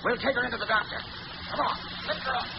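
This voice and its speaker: Gangster henchman: high, lively voice, brash and tough, slangy wisecracks, vintage radio thriller, heavy noise. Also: fast, creaky. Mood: brash, threatening. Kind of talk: 1930s-40s radio thriller acting. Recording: muffled, very noisy old radio recording.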